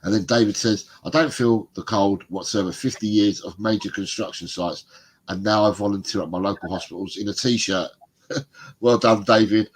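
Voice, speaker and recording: A middle-aged man, a little bunged up, reads viewer comments and chats on a fan livestream. His voice sits low and sounds husky. He rambles easily and laughs along. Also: normal speed, low-quality recording. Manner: chatty, rambling, laughs often. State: congested, bunged up. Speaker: co-host of a football fan livestream. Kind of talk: casual chat reading out viewer comments.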